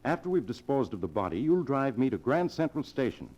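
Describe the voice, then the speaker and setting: An actor playing a cold, controlling younger man who plots murder, in the deliberate, insistent style of 1940s radio drama. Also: medium pitch, big pitch swings, darkish tone. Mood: coolly scheming. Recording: dull old radio broadcast recording.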